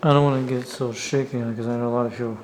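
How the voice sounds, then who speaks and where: A man's voice: medium pitch, fairly even intonation, a little mellow. Man in casual show-and-tell, noisy recording. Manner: halting, apologetic, talking himself through handling the camera. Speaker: amateur radio hobbyist showing a device. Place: indoors at a table. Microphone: handheld camera's own microphone.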